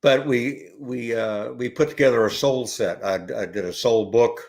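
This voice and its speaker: An older man talking as a podcast guest, rambling and halting with many fillers and restarts. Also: medium pitch, creaky, a little mellow. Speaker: podcast guest, ukulele educator. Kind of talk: relaxed conversational interview.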